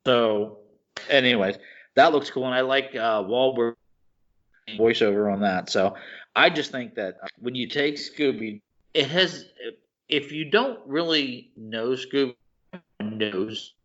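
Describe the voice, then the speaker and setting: A man co-hosting a podcast in casual chat, excitable and rambling, often restarting his sentences. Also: mid-range voice, slow. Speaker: podcast co-host. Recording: dull lo-fi podcast audio.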